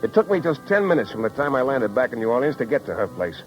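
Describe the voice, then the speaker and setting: An actor playing a wry, tough, world-weary private detective in a 1950s radio drama, with slangy, wisecracking delivery and narration. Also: male voice, mid-range voice, sing-song, quick pace, faint music behind, close to an everyday voice. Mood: brooding, wry. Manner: hard-boiled, wisecracking, slangy. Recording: dull vintage 1950s radio recording.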